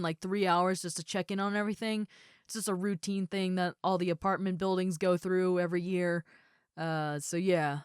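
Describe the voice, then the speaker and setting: Woman playing a smooth, low-voiced friendly ogre roommate. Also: dry recording, clean studio sound, natural voice. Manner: casual, chatty, with hesitant asides. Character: friendly, muscular female ogre roommate, easygoing and caring. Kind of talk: roleplay audio acting, addressed to the listener.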